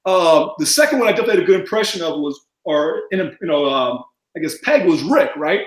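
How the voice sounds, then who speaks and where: A man chatting as a podcast guest, mumbling and talking fast with lots of fillers. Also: fairly high pitch, expressive, echoey room, low-quality recording. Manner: rambling with many fillers. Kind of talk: casual podcast chat.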